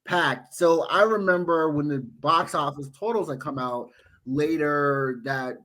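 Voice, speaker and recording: Congested, nasal male co-host with a slightly high voice; animated, jokey storyteller. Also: a little slow. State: congested with a head cold. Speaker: podcast co-host. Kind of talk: casual podcast chat.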